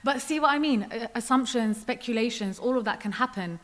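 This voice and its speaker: A young woman talking calmly and reflectively as a guest on a podcast. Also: on the high side, a little fast. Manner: calm, reflective, often quotes herself. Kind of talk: casual interview chat.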